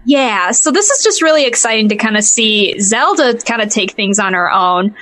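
A woman's voice: a little high, lively intonation, normal speed, a bit bright. A woman co-hosting a casual show, chatting in a giggly way with rambling asides. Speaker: podcast co-host. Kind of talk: casual chat, commentary.

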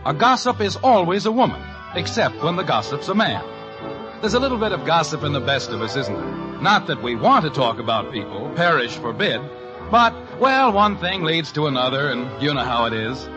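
A man's voice: fairly high pitch, very animated, normal speed, dull audio. A man announcing a 1950s radio show from a script, with a folksy, playful delivery. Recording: old radio broadcast over loud music.

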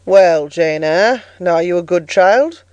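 A woman voicing a solemn, stern male clergyman who speaks slowly and preachily in an audiobook story. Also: expressive, a little mellow, no echo. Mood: solemn, doubtful. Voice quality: bass. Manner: solemn, slow, sermonizing. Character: solemn, stern, harsh elderly clergyman interrogating a child.

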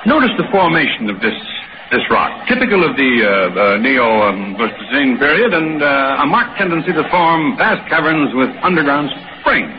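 Old con man professor, avuncular n wordy, super animated, old radio show with loud hiss and noise. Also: on the high side, moderate pace. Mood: falsely jovial. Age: older. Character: smooth, scheming elderly con-man professor, genial and falsely fatherly. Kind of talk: 1940s radio acting, dialogue and first-person narration. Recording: noisy, muffled 1940s radio recording.

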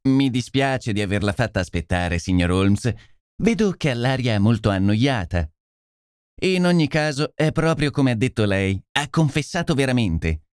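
A man's voice: mid-range voice, animated, moderate pace, clear, resonant tone, dry recording, clean studio sound, lightly acted. A man voicing a blustering male police inspector in an audiobook, brash and needling. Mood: gloating.

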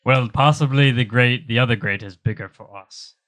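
A man's voice: mid-range voice, moderate pace, a bit bright. A man chatting casually as a player in a tabletop roleplaying game.

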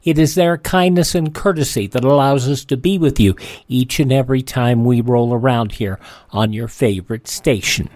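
A man's voice: medium pitch, moderate pace, a little mellow, dry recording. Folksy male radio host, very dry sound. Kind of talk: scripted radio sign-off.

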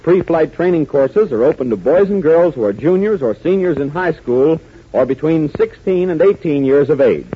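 A man's voice: on the high side, lively intonation, normal speed, breathy edge. An American man announcing and narrating a radio adventure serial in a dramatic, emphatic 1940s style. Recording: muffled, hissy 1940s radio recording.

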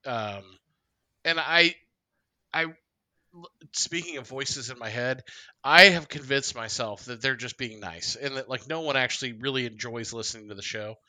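Guy podcast cohost, really bright edgy voice, dry room, puts himself down. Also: medium pitch, normal speed, no echo, poor audio. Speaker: podcast co-host. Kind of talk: casual chat.

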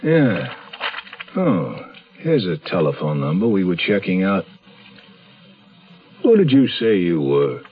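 An actor playing a cagey, mercenary private investigator in a 1970s American radio drama, brusque and sardonic. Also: male voice, sits low, very animated, slow, long pauses, full character voice. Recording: dull 1970s radio recording.

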